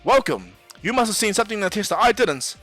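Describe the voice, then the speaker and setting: Man putting on a prim, forceful schoolboy stickler. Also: sits high, very animated, fast, faint music behind, pitched above the performer's own voice, full character voice. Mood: formal, apologetic. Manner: scolding, formal, emphatic. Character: prim, indignant teenage male class stickler.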